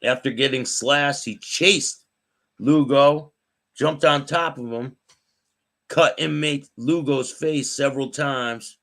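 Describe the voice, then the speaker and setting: A man with a raspy voice hosting a live stream. He reads news aloud and chats with viewers by name. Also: medium pitch, slow, fairly bright tone. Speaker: host.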